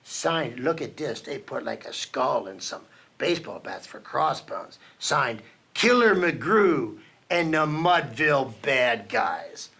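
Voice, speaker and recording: Animated, slow-paced male storyteller. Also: mid-range voice, creaky. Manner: animated storytelling with dramatic pauses. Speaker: children's book reader for a team reading program. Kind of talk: reading a children's picture book aloud.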